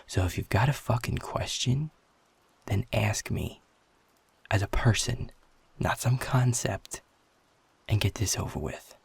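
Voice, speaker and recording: A man playing a guarded, sarcastic, defiant werewolf in an intimate audio roleplay, talking bluntly with a husky, gravelly voice. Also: fairly low pitch, measured pace, pauses often, dry recording, full character voice. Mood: angry, blunt. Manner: sardonic, blunt, swearing, confrontational.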